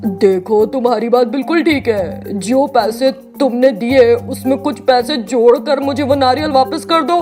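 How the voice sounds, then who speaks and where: A woman's voice: fairly high pitch, measured pace, few pauses, dark tone, soft background music, natural voice. Woman voicing a brusque, greedy male shopkeeper. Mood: urgent. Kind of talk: storybook character voice.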